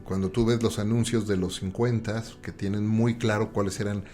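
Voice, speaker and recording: A Mexican-accented man with a lowish voice interviews as a podcast host. His questions are long and reflective, phrased conversationally. Light music plays in the background. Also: fairly even intonation, normal speed, mellow.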